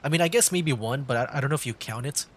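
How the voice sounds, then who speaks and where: A man casually commentating on a game livestream in a hesitant, halting way, often repeating himself. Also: mid-range voice, a bit bright. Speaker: streamer playing a video game. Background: game music.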